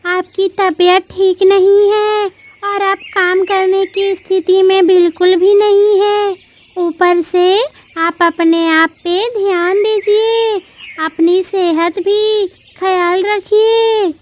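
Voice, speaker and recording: An anxious, caring crow wife from a children's cartoon, voiced by a woman. Her voice is extremely high and girlish. She speaks slowly, with an earnest, pleading manner. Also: steady tone. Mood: concerned, insistent. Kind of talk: Hindi children's cartoon story dialogue.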